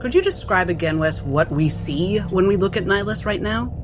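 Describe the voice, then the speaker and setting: A woman playing a tabletop roleplaying game, narrating her character in deliberate, measured phrases. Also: mid-range voice, lively intonation. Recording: music behind the voice.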